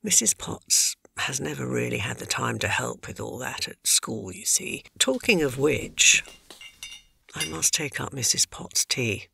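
An actor playing a discreet, anxious household servant in an audio drama, a breathy creaky voice and a proper, deferential manner. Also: medium pitch, lively intonation, a little slow, pushed and bright, exaggerated voice. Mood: polite.

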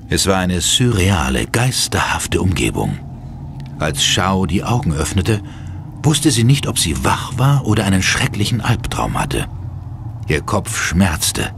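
A man's voice: low-pitched, very animated, measured pace, gravelly, background noise. A man giving dramatic scripted narration for a German horror audio drama. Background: music.

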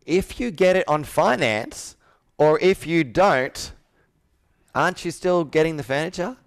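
Clear-voiced, higher-pitched male sales coach. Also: expressive, a little slow, no echo, studio quality. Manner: direct, probing, persuasive. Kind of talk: sales call roleplay, conversational questioning. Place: indoor room with whiteboard, on a video call.

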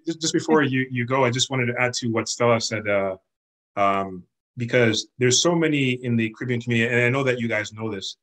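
A man's voice: medium pitch, fairly quick, a bit bright, poor audio. A man on a video call earnestly sharing a personal experience. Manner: earnest, candid personal testimony. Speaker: community member sharing experience.

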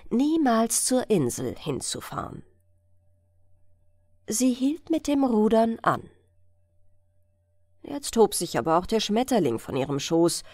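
A woman reading a fairy tale as a bedtime audiobook, in an expressive, measured storytelling manner. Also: fairly low pitch, dry recording, clean studio sound. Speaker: audiobook narrator.

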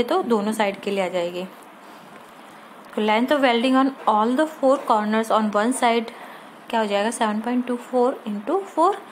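Indian female lecturer, fairly high voice, didactic, explains step by step with rhetorical questions, noisy amateur recording. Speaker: polytechnic lecturer. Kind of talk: explanatory lesson mixing Hindi with English technical terms.

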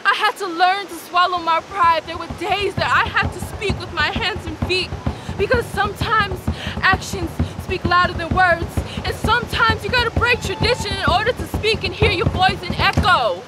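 A woman's voice: extremely high pitch, fairly even intonation, normal speed, loud music behind the voice. A young woman performing spoken word poetry outdoors on a rocky beach, with a rhythmic, emphatic delivery. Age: young adult. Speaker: poet. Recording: outdoor recording with loud surf noise. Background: crashing ocean waves.

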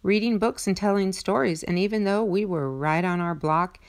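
A woman's voice: mid-range voice, moderate pace, clear, resonant tone, pitched above the performer's own voice, lightly acted. A woman voicing schoolchildren in a picture book with simple, childlike phrasing. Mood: earnest.

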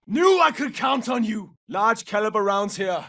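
An actor playing a fierce Norse mercenary soldier in a military shooter game, giving terse, commanding, urgent callouts. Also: male voice, high voice, lively intonation, a little slow, pushed and bright, distant mic, exaggerated voice. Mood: low, steady. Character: gruff Norse mercenary soldier, fierce, battle-hungry hunter. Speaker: video game operator calling out battlefield information. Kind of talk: military shooter game voice lines, short tactical barks.

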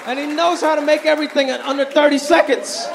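A man in his thirties from Hong Kong performing a stand-up comedy set on a theater stage into a handheld mic, animated and swinging his pitch for punchlines. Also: fast, gravelly. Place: large theater stage with live audience. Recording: live show with faint crowd and room noise.

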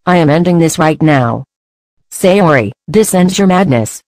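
Actress as determined heroine facing down a villain; lowish female voice, dry sound, resolute fan-drama delivery. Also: animated, a little slow, a little mellow, dry recording. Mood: stern resolve. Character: determined heroine Monika ending a villain's rampage.

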